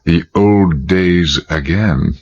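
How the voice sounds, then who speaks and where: Very deep, suave British villain. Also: male voice, very slow, breathy edge, dull audio, exaggerated voice. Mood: urbane, amused. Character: suave, commanding villainous gentleman host with hypnotic hold. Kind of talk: radio drama acting.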